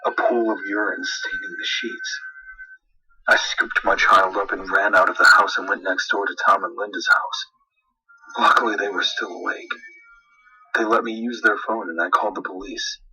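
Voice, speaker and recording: A man's voice: on the low side, fairly even intonation, moderate pace, pushed and bright, low-quality recording. A man reading a scripted first-person horror story as narrator, with dramatic, suspenseful delivery.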